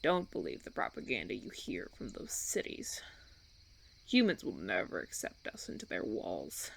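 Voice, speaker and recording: A man's voice: lowish voice, huge pitch swings, creaky, fairly bright tone, slight background noise, pitched below the performer's own voice, full character voice. Man acting a suave, swooping vampire recruiter. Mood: coaxing, veiled threat. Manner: theatrical, deliberate, silky persuasion with veiled threats. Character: suave menacing male vampire recruiter, smooth-talking and patronizing. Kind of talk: audio roleplay, ASMR monologue.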